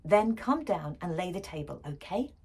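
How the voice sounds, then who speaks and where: A woman's voice: on the low side, sing-song, normal speed, a little mellow, husky, heavily acted. Woman as a tired dad, muttering, complaining, weary, pleading, storybook character.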